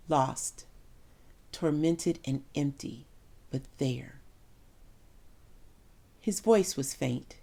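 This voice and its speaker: A grieving, resentful mother in middle age, acted by a woman in a dramatic reading. Her voice sits a little low for a woman. She speaks theatrically and deliberately, weighting her words with feeling and long pauses. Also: a little mellow. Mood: anguished, subdued.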